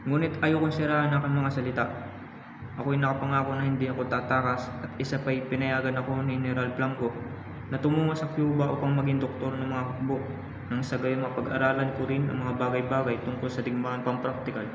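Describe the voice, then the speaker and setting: A man playing an earnest, principled Filipino patriot in an amateur Tagalog radio drama, reciting in a formal, measured way. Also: mid-range voice, flat delivery, background noise, natural voice. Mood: firm, reluctant. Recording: muffled, echoey reverberant hall, music behind.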